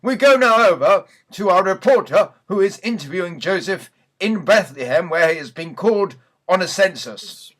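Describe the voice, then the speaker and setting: A man's voice: high-pitched, expressive, normal speed, fairly bright tone. A man reading a scripted mock news bulletin as the newsreader in a church sketch.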